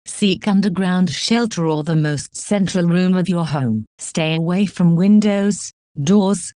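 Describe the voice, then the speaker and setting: A woman reading a scripted emergency broadcast as the announcer, in a formal, measured manner. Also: lowish voice, warm tone, no echo, studio quality.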